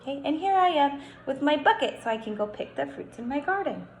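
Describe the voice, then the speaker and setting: A young woman teaching kindergartners in a read-aloud style, instructive and animated, stretching words for emphasis. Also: high-pitched, a little fast. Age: young adult. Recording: roomy echo, faint background noise.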